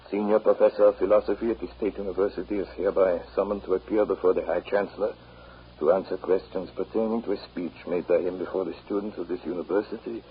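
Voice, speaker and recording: A man playing a weary, ironic older German professor, measured and grave, in a 1930s radio drama. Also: low voice, close to an everyday voice. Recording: muffled, slightly noisy 1930s radio recording.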